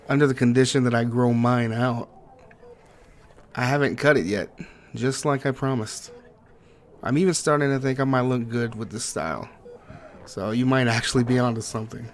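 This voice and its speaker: A man acting as a grieving, guilt-ridden partner in an intimate audio roleplay, speaking tenderly and haltingly to a sweetheart. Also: medium pitch, natural voice. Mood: tender, wistful. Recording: clearly noisy track with ambience.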